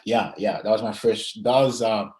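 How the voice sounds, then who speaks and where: A man's voice: fairly low pitch, a little mellow. A young man, chatting casually and hesitantly as an athlete being interviewed. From Zimbabwe and South Africa. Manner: hesitant, frequent pauses and "you know".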